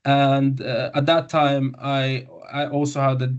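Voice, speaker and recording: A man's voice: mid-range voice, little pitch movement. A young man from Syria walks through his talk in a mid-range voice, stopping often to correct himself and fill gaps. The sound is dull and lo-fi, like a webinar captured over a video call. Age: 20s. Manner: halting, many fillers and self-corrections. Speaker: doctor giving a webinar. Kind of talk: informal webinar presentation. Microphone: video call microphone. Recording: muffled lo-fi webinar audio.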